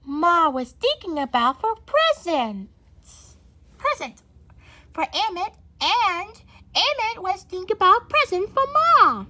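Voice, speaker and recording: A woman reading a children's story aloud as an amateur, haltingly and stumbling over words. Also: very high-pitched, big pitch swings, pushed and bright. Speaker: storybook reader.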